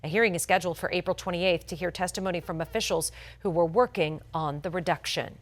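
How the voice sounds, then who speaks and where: A woman's voice: lowish voice, quick pace. A woman anchoring a morning TV newscast, reading scripted stories with polished, emphatic delivery. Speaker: news anchor. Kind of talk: scripted morning news broadcast.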